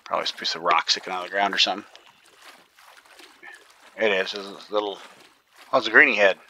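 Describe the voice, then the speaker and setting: A man's voice: deep voice, fairly even intonation, fast talker, bright, forceful tone, a little hiss or hum, low-quality recording. A man giving casual commentary while he plays a video game, thinking aloud and often dropping to a whisper. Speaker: gaming YouTuber.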